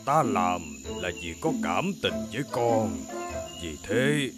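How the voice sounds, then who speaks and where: Casting: pompous middle-aged cartoon mayor, sly false kindness, very animated delivery, loud music underneath. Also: male voice, lowish voice, slow. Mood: sly coaxing. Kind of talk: Vietnamese cartoon dubbing.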